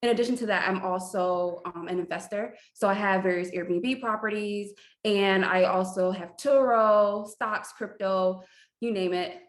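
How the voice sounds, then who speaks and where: Relaxed woman panelist, echoey room. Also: mid-range voice, unhurried. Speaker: coach and panelist. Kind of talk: self-introduction on an online panel.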